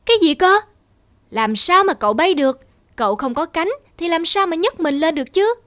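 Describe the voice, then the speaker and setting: An actor voicing a big, proud male eagle in a cartoon, blunt, gruff and condescending. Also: extremely high pitch, expressive, normal speed, fairly bright tone, dry recording. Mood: dismissive.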